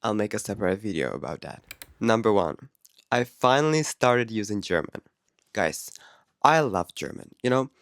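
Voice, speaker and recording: A man's voice: on the low side, animated, moderate pace, a bit bright, dry recording, studio quality. A man with a Czech accent, whose first language is Czech, talking to camera in a chatty, candid vlog with frequent laughs and self-corrections. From Czech Republic. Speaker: vlogger. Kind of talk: casual vlog talk to camera.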